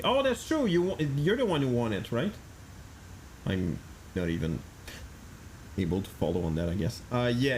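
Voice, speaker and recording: A man's voice: medium pitch, a little mellow, slight background noise, low-quality recording. A man streaming a flight simulator, chatting casually, thinking aloud and answering chat with many fillers.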